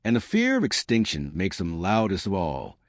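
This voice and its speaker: A man voicing a quiet, compassionate male diplomat in a sci-fi story, speaking gently and with certainty. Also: sits low, huge pitch swings, normal speed, no echo, studio quality, full character voice. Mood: gentle, solemn. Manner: soft-spoken, gentle, certain.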